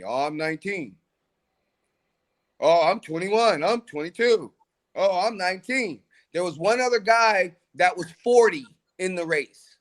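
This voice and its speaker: A man's voice: high-pitched, fairly bright tone. A man in his forties, a track coach, talking in a casual podcast conversation in an emphatic, motivational way. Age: 40s.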